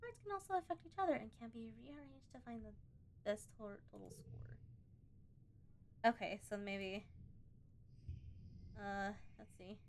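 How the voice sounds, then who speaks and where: A woman doing a casual let's play, reading on-screen game text aloud and breaking off to think out loud. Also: a little high, unhurried, creaky, pauses often, slight background noise. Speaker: gaming video host.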